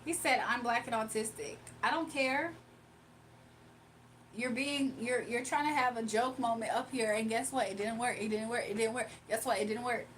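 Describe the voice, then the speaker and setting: A woman's voice: on the high side, moderate pace, a bit bright, a little hiss or hum. A woman chatting casually on a live stream from her phone, reading viewer comments aloud and laughing often. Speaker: livestreamer.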